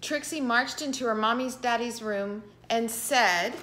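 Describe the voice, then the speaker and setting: A middle-aged woman reading a picture book aloud to children with expressive, dramatic emphasis. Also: a little high, unhurried, a little hiss or hum. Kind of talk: animated children's storybook read-aloud. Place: indoor living room with piano.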